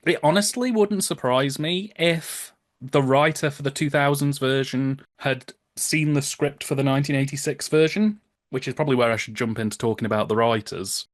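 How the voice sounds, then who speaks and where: A man's voice: medium pitch, moderate pace, no room sound, studio quality. A British man chatting casually as a podcast guest, wry and informative as he explains things.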